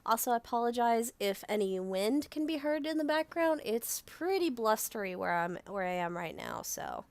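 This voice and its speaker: A woman playing a tabletop game on a live stream, her voice hoarse from a cold, chatting in an apologetic, casual way. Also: fairly high pitch, very animated, normal speed, dry recording.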